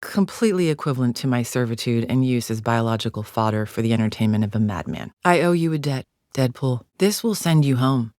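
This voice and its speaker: A woman's voice: rumbling low, animated, fairly quick, few pauses, darkish tone, no echo, studio quality. An actress playing a proud, disdainful alien arena champion in a video game, a cold warrior woman with stiff, formal, haughty diction. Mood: solemn, measured. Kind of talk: scripted video game character lines.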